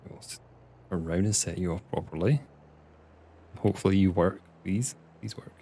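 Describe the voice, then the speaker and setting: A man's voice: sits low, moderate pace, dark tone, slightly rough. A young Scottish man from Scotland streaming a farming game, chatting casually with viewers and muttering at the game. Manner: chatty, reads chat aloud and mutters at the game. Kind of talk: casual live gameplay chat.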